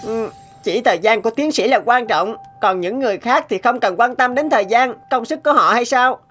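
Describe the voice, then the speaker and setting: A woman's voice: on the high side, brisk, a bit bright, faint music behind. A woman voicing an earnest, outspoken young boy in a cartoon, polite but reproachful. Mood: disappointed. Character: earnest, outspoken nine-year-old boy. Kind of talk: animated moral tale dubbing.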